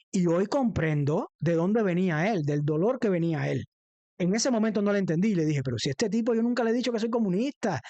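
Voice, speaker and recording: A man's voice: high-pitched, a little mellow, no room sound. A man with a Cuban accent speaking as a livestream host in an impassioned political monologue, emphatic and rhetorical, repeating phrases for weight. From Cuba. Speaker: livestream host, former defense lawyer.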